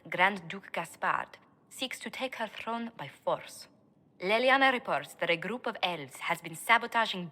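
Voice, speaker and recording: A poised court diplomat. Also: female voice, medium pitch, bright, forceful tone. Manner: poised, measured, formal. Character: poised female court diplomat, formal and careful. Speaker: diplomat advisor in a fantasy game. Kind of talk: video game dialogue acting.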